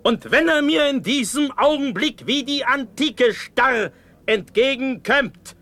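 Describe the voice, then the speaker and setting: An actor playing a young, hot-headed, proud Prussian prince in a classical verse radio drama, theatrical and declamatory. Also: male voice, very high-pitched, lively intonation, slow, sharp tone, heavily acted. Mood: bitter defiance.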